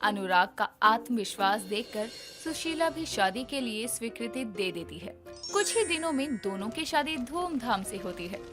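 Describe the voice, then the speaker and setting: Lively high-pitched woman storyteller. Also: normal speed, over music. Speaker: narrator. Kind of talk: scripted story narration.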